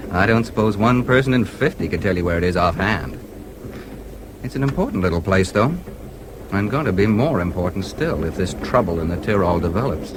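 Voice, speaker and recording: Low-voiced, breezy American newspaperman. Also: lively intonation, brisk. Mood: explanatory. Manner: genial, breezy, self-assured. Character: confident, genial American newspaperman, a roving troubleshooter. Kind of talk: radio drama acting. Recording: radio play with clear background noise.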